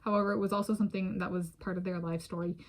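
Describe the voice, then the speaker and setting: A woman's voice: on the low side, fairly even intonation, racing. Rambling American woman vlogging to camera. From United States. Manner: rambling, many restarts and soft laughs. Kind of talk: casual solo talk to camera. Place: home in a residential area. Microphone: self-filmed camera.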